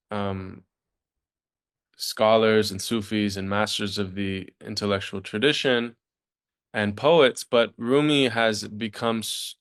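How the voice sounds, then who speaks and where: A man's voice: on the low side, a bit bright, smooth. A man interviewing a guest, putting thoughtful questions in a tentative, wordy way.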